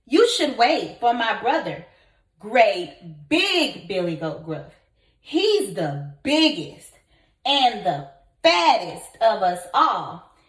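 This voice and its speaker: In a roomy, echoing space, a woman plays a middle-sized storybook billy goat with a raised, lilting voice. He is nervous but crafty, halting and persuasive. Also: on the high side, sing-song, distant mic. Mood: coaxing. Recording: echoey room.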